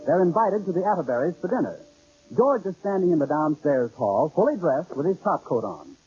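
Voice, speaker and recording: A man with a raspy voice narrating a 1940s radio comedy as its announcer, in a scripted style. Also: fairly high pitch, big pitch swings, a little fast, dark tone, soft background music. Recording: dull old radio recording.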